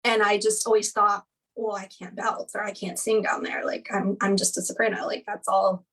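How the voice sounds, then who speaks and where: A woman's voice: medium pitch, flat delivery, normal speed, fairly bright tone, recorded from a distance. A woman in her thirties, a guest chatting casually in an interview, rambling with lots of "like" and light laughs.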